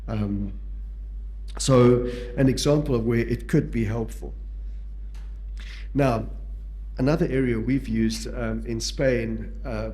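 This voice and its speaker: A man from South Africa, whose first language is Portuguese, speaks with a South African accent as he gives a conference lecture on palliative care, measured and pausing often with fillers and restarts. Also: mid-range voice, mellow.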